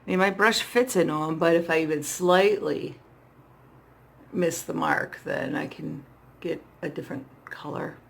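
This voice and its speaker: A middle-aged woman with a hoarse voice, chatting casually and playfully to herself as she demonstrates makeup, often poking fun at herself. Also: deep voice, unhurried, a little hiss or hum. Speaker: makeup vlogger. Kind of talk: casual makeup tutorial chat, talking to herself while working. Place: small indoor setup with dark backdrop.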